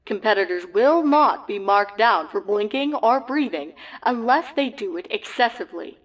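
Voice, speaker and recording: A woman voicing an excitable pony sports announcer with hyped, showy broadcast delivery in a comedic story reading. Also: medium pitch, normal speed, natural voice, poor audio. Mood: matter-of-fact.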